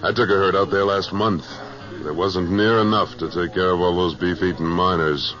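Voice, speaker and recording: Deep, terse American cattleman-gunfighter for a 1950s radio western; self-assured, plain-spoken, on a dull old broadcast recording. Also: fairly even intonation, normal speed, fairly bright tone, faint music behind. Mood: coolly accusing. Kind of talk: 1950s radio western acting, first-person narration and dialogue.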